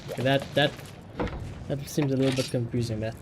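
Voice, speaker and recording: A man's voice: medium pitch, fairly even intonation, a little fast, background noise. A man streaming a video game live, chatting casually and giving blunt opinions with plenty of swearing. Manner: sweary, opinionated, repeats phrases. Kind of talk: casual live gaming commentary and chat. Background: game music.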